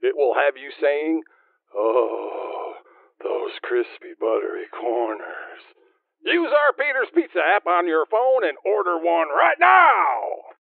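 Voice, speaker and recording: Tinny radio sound, man playing eager ad pitchman, crackly gravel voice, animated, salesy. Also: slow, dry recording, heavily acted. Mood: hyped. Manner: hyped, salesy. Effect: radio filter. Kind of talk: parody radio commercial. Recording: tinny band-limited radio sound, very dry.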